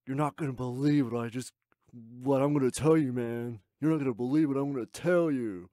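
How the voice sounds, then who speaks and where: A man voicing a giddy young guy who just won the lottery, excitable and laughing. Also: medium pitch, fairly quick, no room sound, clean studio sound, close to an everyday voice. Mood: overjoyed. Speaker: voice actor. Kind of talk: voice reel character sketch.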